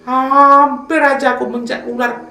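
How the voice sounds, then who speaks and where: A man's voice: very high-pitched, flat delivery, moderate pace, pushed and bright, echoey room, far from the mic, slight background noise, pitched above the performer's own voice, heavily acted. A man voicing a small, clever mouse deer in a children's fable, wheedling and childlike. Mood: worried.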